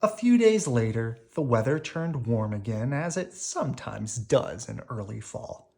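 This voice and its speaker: A woman reading a children's storybook aloud in an animated, unhurried way for young listeners. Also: rumbling low, creaky. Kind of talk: expressive read-aloud storytelling.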